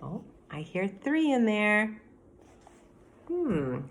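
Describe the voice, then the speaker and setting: Slow, expressive woman reading to children. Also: fairly low pitch. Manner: dramatic, explanatory asides to children. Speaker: teacher. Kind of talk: expressive children's storybook read-aloud. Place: small room at home, by a window.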